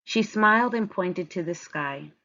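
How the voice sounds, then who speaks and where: A woman reading a children's picture book aloud in a measured, deliberate storytelling manner. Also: fairly low pitch, animated, fairly bright tone, lo-fi sound. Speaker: storybook reader. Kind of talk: scripted children's read-aloud narration.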